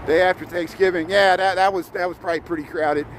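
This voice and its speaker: A man's voice: sits high, fairly even intonation, quick pace. A middle-aged man from Indiana with a mixed Chicago-Midwestern accent, chatting casually with his viewers while walking city streets on a live stream, often repeating words. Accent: Chicago-Midwestern mix. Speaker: livestream host. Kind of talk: live walking tour chat. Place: outdoors on snowy city streets. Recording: street noise behind. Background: traffic and city noise.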